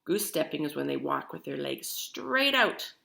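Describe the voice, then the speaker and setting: Female storybook reader, very lively intonation, bright tone, a creaky edge, talking to children. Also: deep voice, moderate pace. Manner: animated, addresses child listeners with questions. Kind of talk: expressive read-aloud of a children's picture book.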